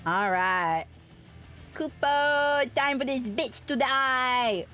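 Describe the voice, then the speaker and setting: High-voiced woman streaming a video game. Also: drawn-out words. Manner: expressive, exclaiming, playful. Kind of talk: casual live gameplay chat and commentary. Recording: game music behind the voice. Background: video game music.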